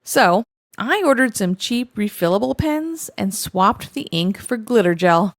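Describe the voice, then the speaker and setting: A woman reading first-person confession stories aloud in an expressive, conversational storytelling manner. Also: mid-range voice, measured pace. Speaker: story reader, host of a show reading online confessions.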